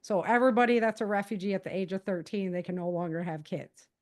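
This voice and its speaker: An American woman talks like an author on a podcast, recounting stories in a loose, conversational style. Her delivery is animated and informal, at a middle pitch for a woman. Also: fast. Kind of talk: casual spoken summary of own novels.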